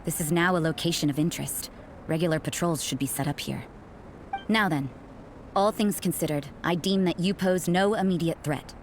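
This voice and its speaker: A woman playing a stern, cynical young nun in a video game, guarded and suspicious, with a cool, sardonic, deliberate delivery. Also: lowish voice, slight background noise, lightly acted. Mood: grudgingly satisfied. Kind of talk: English video game character dialogue.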